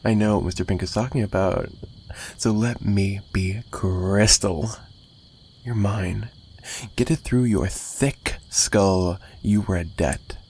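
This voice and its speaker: A man's voice: lowish voice, takes it slowly, a little mellow, husky, full character voice. A man playing a possessive, menacing mafia boss in an ASMR roleplay, taunting the listener with theatrical mock sweetness and threats. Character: possessive, menacing young male mafia boss, obsessive kidnapper. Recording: noticeable background noise.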